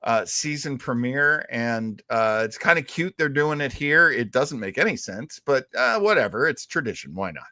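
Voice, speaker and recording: A man's voice: medium pitch, big pitch swings, normal speed, hardly any pauses, pushed and bright, dry recording, studio quality. A man hosting a casual livestream chat, talking in a chatty, jokey way. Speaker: show host.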